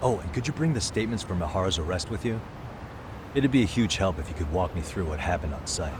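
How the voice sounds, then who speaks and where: An actor playing a laid-back, sharp male private detective in a video game, talking in a casual, conversational way. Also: deep voice, animated, fast talker, noisy background. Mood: relaxed, friendly.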